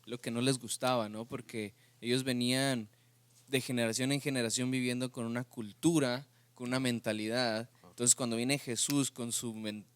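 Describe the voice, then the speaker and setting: A man's voice: mid-range voice, fairly even intonation, normal speed, smooth, no room sound. Mexican man, dry close sound. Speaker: podcast co-host. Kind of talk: casual faith discussion.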